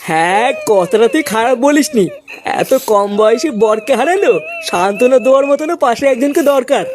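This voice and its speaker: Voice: medium pitch, animated, normal speed, crowd noise, lightly acted. An actor voicing an exasperated, foul-mouthed village youth in a comic cartoon, chiding and matter-of-fact. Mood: reasoning.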